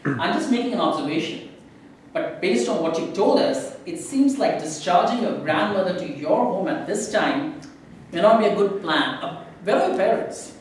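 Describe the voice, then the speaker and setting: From a distance in a very echoey room, a man plays a cautious, procedural social worker. The delivery is measured and formal, as read in a stage play. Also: high-pitched, expressive. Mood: firm, questioning. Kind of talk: amateur staged reading of a play. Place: live staged reading in a reverberant room. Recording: very echoey room, distant mic, faint noise.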